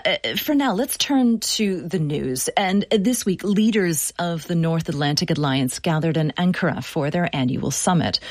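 A woman hosting a radio talk show, steering guests with measured, encouraging remarks and reading the news. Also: fairly low pitch, husky, no room sound.

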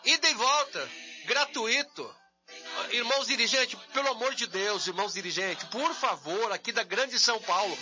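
High, bright-voiced Brazilian pastor. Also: male voice, highs cut off. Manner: exhorting, repeats phrases for emphasis. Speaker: pastor and co-host. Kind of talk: emphatic church event announcement.

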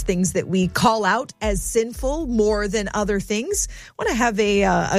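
A woman hosting a radio talk show in a studio, conversational and quick with affirming interjections. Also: mid-range voice, no echo.

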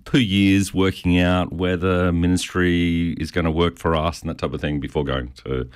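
A man's voice: low voice, flat delivery, moderate pace, clear, resonant tone. A middle-aged Australian man with a stutter, answering interview questions in an informal, self-deprecating, storytelling way. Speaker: pastor.

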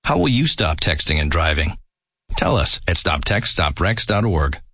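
PSA voice-over, male, very deep and gravelly with a sharp edge, dry sound. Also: very animated, moderate pace, no room sound. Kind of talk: scripted public service announcement.

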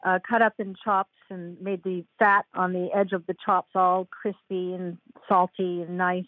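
A woman's voice: on the high side, no echo. A woman guest author chatting emphatically in an interview, retelling exchanges with animation. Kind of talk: casual interview talk. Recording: tinny phone-like line, dry.

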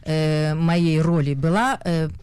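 A young woman from Russia with a Russian accent, an actress giving an interview, often pausing and restarting as she searches for words. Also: low voice, darkish tone, clear, resonant tone, no room sound. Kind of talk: hesitant conversational interview.

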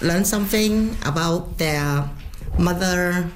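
A woman's voice: on the low side, slow pace, background noise. A woman teacher whose first language is Cantonese, speaking in an interview with plain, simple phrasing.

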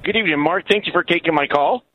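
A man's voice: fairly high pitch, fast, fairly bright tone, no echo. A man calling a political radio show by phone, making his case earnestly and insistently over a band-limited line. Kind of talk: political opinion on call-in radio. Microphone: telephone.

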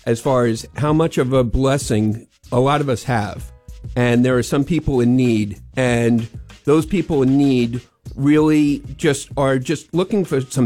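A man's voice: mid-range voice, a little mellow. Earnest older New York man. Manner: earnest, rambling, repeats "as far as it". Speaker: sponsor guest, restaurant owner. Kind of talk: radio interview.

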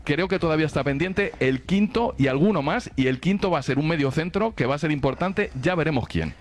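A man's voice: on the high side, expressive, a little fast, a little hiss or hum. A man with a Castilian Spanish accent, a sports journalist talking colloquially in an unscripted football debate. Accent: Castilian Spanish from Spain.

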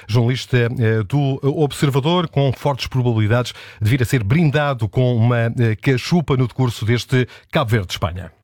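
A man presenting the radio news from a studio, measured and pausing often on fillers. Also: medium pitch, darkish tone, no echo. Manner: measured, with frequent hesitation fillers. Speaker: news anchor. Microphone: studio microphone.

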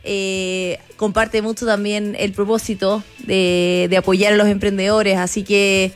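A woman's voice: medium pitch, level tone, measured pace, faint music behind. A woman co-hosting a talk program, speaking effusively and rambling, with many fillers and restarts. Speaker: co-host, program leader. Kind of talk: casual talk-show chat.

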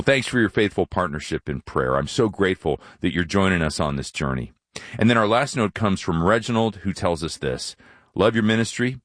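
Guy hosting a bible radio show, deep voice, dry studio sound, reading from a script. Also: no echo. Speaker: radio host of a Bible teaching program.

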